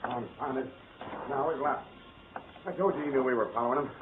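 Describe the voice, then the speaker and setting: A man playing a gruff, insistent police detective in a 1940s radio crime drama, clipped and tough. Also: mid-range voice, brisk, lightly acted. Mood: irritated. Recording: tinny, noisy old radio recording.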